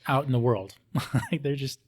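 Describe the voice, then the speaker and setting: An American man with a mid-pitched voice. He talks casually as a guest in conversation, putting his points bluntly and colloquially. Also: normal speed, a little mellow, slightly rough. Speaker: interview guest.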